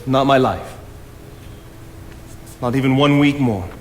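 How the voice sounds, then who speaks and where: A man acts out a solitary woodland writer in a period stage play. He speaks at a middle pitch, measured and poetic. The voice echoes through a theatre with faint background noise. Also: lightly acted. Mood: resolute. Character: Solitary, thoughtful male writer living in the woods.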